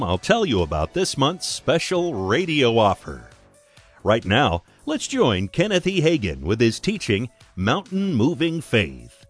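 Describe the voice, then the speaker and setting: A man delivers a scripted radio program introduction over faint music. His mid-pitched voice swings very widely, giving the announcer's read a highly animated shape.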